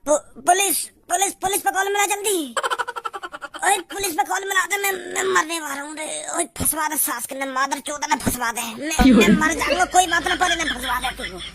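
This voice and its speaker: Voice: sits high, moderate pace, heavy background noise. A performer voicing a swaggering, dramatic village boy in a comic cartoon. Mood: panicked pleading. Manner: blustering, dramatic. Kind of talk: comic Hindi cartoon dialogue.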